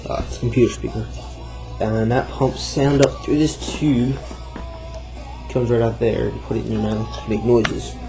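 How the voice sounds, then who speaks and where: A man's voice: medium pitch, little pitch movement, moderate pace, vocal fry, dark tone, soft background music, low-quality recording. A man giving an informal, rambling, self-deprecating walkthrough of something he built, off the cuff. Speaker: hobbyist builder showing a homemade project. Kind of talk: casual off-the-cuff demo narration.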